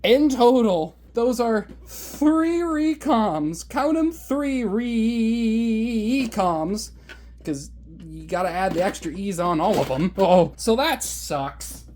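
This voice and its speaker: A man with a high voice, casually showing his hobby collection in a sarcastic, exasperated, exaggerating rant. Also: expressive, slow pace, a little mellow, slight background noise. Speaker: hobbyist video host. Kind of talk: casual show-and-tell rant.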